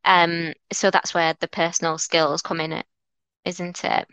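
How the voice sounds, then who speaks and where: Bright-voiced Mancunian woman. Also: on the low side, level tone, measured pace, smooth, dry recording. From North Manchester, England. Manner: earnest, encouraging, many restarts. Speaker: lawyer, interview guest. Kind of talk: conversational interview answers.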